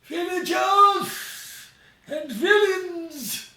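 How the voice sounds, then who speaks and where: A man voicing an indignant old man proclaiming loudly to villagers in a story, drawing out his words. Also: very high voice, voice pushed up, exaggerated voice. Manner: loud, drawn-out, declamatory. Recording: echoey room, distant from mic.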